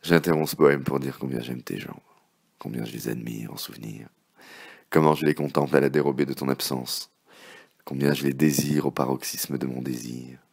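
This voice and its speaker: A man reciting love poems in a dramatic, impassioned, theatrical manner, with a gravelly, husky voice that can drop to a hushed murmur. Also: rumbling low, fairly even intonation, normal speed. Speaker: poet reading poems.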